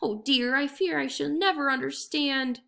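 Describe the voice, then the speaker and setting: A woman's voice: high voice, moderate pace, vocal fry, fairly bright tone, pitched above the performer's own voice. A woman voicing a sly male jackal who pretends to be muddled and speaks with fussy politeness in a storybook reading. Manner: dithering, confused, overly polite.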